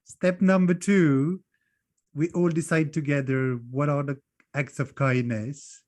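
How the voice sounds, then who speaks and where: A man's voice: on the high side, fairly even intonation, takes it slowly, darkish tone. A man hosting a podcast, recapping his guest's points step by step. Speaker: podcast host. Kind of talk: podcast interview.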